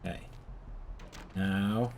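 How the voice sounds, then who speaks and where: A man's voice: on the low side, steady tone, extremely slow, gravelly, darkish tone, a little hiss or hum. A man hosting a gameplay video with casual, dry, joking banter. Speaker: gameplay host. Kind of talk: casual co-op commentary on a video game.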